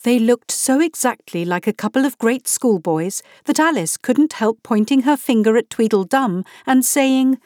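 A woman reading a children's story aloud as a narrator, in a measured style. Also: fairly high pitch, expressive, a little mellow, no room sound, clean studio sound. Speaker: volunteer audiobook reader.

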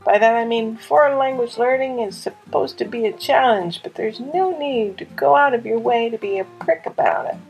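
A woman's voice: a little high, moderate pace, creaky, few pauses, a little mellow, faint music behind, poor audio. A woman podcast host introducing and narrating in a wry, conversational way. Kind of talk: scripted podcast narration and interviewing.

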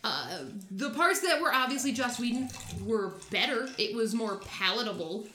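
Bright, opinionated woman in a roomy space. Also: fairly high pitch, animated, normal speed, slight background noise. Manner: opinionated, blunt, swears freely. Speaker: podcast co-host. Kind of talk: casual chat about films and comics. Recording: roomy echo.

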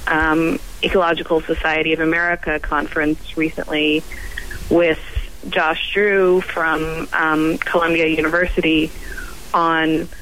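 A woman scientist guest on a radio roundtable, croaky from a cold, speaking haltingly with many pauses and restarts. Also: sits low, fairly bright tone, slight background noise, low-quality recording.

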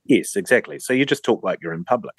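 A man's voice: lowish voice, animated, fast talker, husky, dry recording. A man with a New Zealand accent telling anecdotes in a casual radio conversation as a guest.

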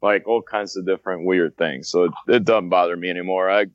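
A man who grew up in South America, talking as a guest on a casual podcast, rambling and laughing as he goes. His voice sits on the low side. The sound is dry and dull, a lo-fi recording. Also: fairly even intonation, fairly quick, no room sound. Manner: laughs often, rambling with restarts. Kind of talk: casual chat.

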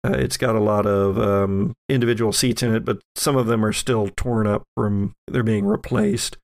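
An American man improvising narration as a game master for a tabletop game, in a halting, meandering way. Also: on the low side, fairly even intonation, a little mellow. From Texas, USA.

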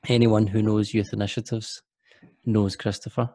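A man's voice: on the low side, little pitch movement, moderate pace, warm tone, no echo. A man hosting a podcast interview, chatty and jokey, often restarting his sentences. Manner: rambling, self-correcting, jokey. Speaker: podcast host.

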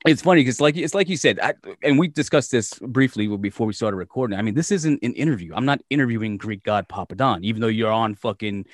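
A man's voice: medium pitch, fast talker, few pauses, no room sound, clean studio sound. A man hosting his own podcast, chatting in a loose, joking way with plenty of swearing. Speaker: podcast host. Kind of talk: casual, profane podcast chat.

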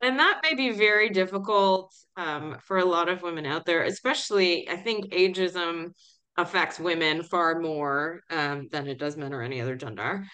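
A woman's voice: lowish voice, normal speed. A woman in her forties hosting a podcast interview, affirming and encouraging, who asks long, thoughtful questions. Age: 40s. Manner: warm, affirming, frequent agreement sounds. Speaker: podcast host.

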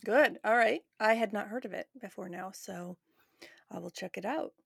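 A woman's voice: medium pitch, moderate pace, gravelly, breathy edge, dry recording, clean studio sound. A woman hosting a podcast in a casual, chatty interview style. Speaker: podcast host.

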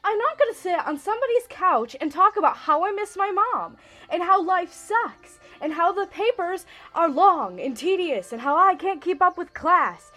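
A woman's voice: extremely high pitch, lively intonation, moderate pace, bright, forceful tone, faint music behind, heavily acted. A woman playing a moody, sarcastic, foul-mouthed college student in an amateur audio drama. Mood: defensive, exasperated. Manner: sarcastic, blunt, slangy.

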